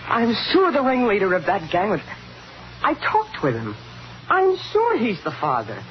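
A woman's voice: medium pitch, wildly swooping pitch, unhurried, slight background noise. A woman acting a devoted, pleading young woman in a 1950s radio thriller. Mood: anxious. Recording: dull vintage radio broadcast.

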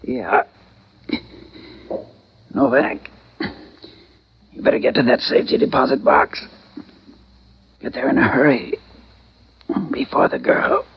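Slow, breathy, gravelly wounded man. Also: mid-range voice, lively intonation, exaggerated voice. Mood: strained, urgent. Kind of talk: 1940s radio crime drama acting. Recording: muffled vintage 1940s radio recording.

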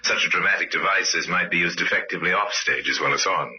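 An actor playing a grave storyteller, narrating an old-time radio mystery on a dull old recording. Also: male voice, deep voice, quick pace, sharp tone, breathy edge, heavily acted. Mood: grave, suspenseful. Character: grave male storyteller of a crime tale.

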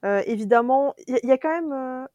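A chatty woman from France talks with a slightly high voice. She is animated and laughs easily. The recording is close and dry, with no room sound. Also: quick pace, no echo, highs cut off. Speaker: co-host reviewing a series. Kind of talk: casual podcast chat.

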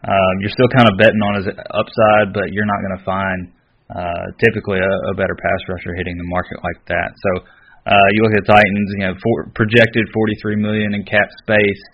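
Man, very low flat voice, casual sports analysis, podcast co-host, slightly dull recording. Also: brisk, vocal fry.

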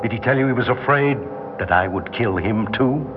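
An American actor playing a bitter, mocking cop killer on death row in 1960s radio drama, with a tough, slangy, sneering delivery. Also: male voice, mid-range voice, moderate pace, noisy background, natural voice. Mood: mocking, unhinged. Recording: dull 1960s radio recording, music behind.

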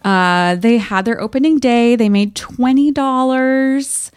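A woman co-hosting a podcast, chatting casually and reading aloud from a diary in an expressive, playful way. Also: fairly high pitch, takes it slowly, clear, resonant tone, no room sound. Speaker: podcast co-host.

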